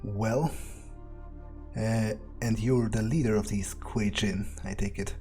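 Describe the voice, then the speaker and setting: Man playing a slow, mellow, sardonic vampire. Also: on the low side, fairly even intonation. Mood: curious, wary. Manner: wry, unhurried, hesitant musing, casual swearing. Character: sardonic, guarded male vampire, curious and blunt. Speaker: let's player. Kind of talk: in-character game roleplay, thinking aloud. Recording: faint music behind the voice. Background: faint game music.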